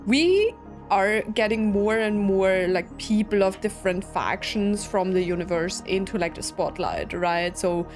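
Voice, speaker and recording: A woman's voice: medium pitch, animated, measured pace, darkish tone. A woman giving casual, informal live commentary on a game, thinking aloud as a streamer. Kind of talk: casual live reaction commentary over gameplay. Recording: game music under the voice. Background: game music and dialogue.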